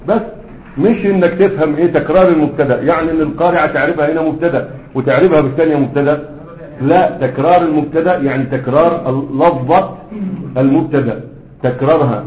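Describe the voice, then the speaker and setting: A man with an Egyptian accent teaching a grammar class, quizzing his students with prompting questions as he explains. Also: on the high side. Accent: Egyptian Arabic. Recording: echoey room, phone-like sound.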